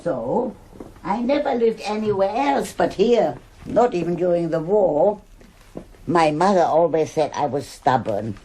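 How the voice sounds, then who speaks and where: A woman's voice: on the low side, animated, unhurried, warm tone, slight background noise, poor audio. An actress playing a stubborn, judgmental older woman in a radio drama. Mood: surprised, chatty. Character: stubborn, defensive old German woman, gossipy neighbour.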